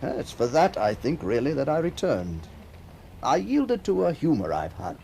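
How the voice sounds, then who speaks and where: Wistful, gravelly middle-aged man. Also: medium pitch, sing-song, normal speed, darkish tone. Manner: musing, hesitant, wry, with small chuckles. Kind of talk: radio drama acting. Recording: faint background noise.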